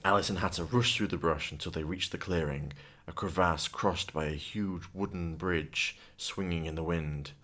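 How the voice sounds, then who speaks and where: Deep-voiced man narrating a dark story. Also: fairly even intonation, moderate pace, few pauses. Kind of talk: dramatic scripted story narration.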